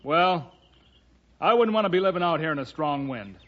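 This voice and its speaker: An actor playing a defensive working-class builder with folksy, ungrammatical, wary speech in a radio western. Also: male voice, a little high, animated, normal speed, close to an everyday voice. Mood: grumbling. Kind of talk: old-time radio western acting. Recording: dull vintage radio recording.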